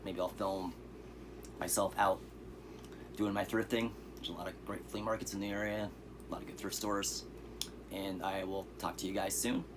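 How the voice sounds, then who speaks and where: A man with a very low, hoarse voice runs through a pile of cheap movie finds, easygoing and rambling, with fillers along the way. There is clear steady noise behind him. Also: normal speed, long pauses. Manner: relaxed, rambling, with fillers and asides. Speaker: vlogger showing thrift finds. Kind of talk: casual vlog.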